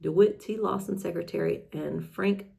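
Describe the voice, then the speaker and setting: A woman's voice: on the low side, normal speed, gravelly, mellow. A woman hosting in a casual, chatty way, mixing reading aloud with informal, self-deprecating asides. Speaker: host reading old newspaper articles.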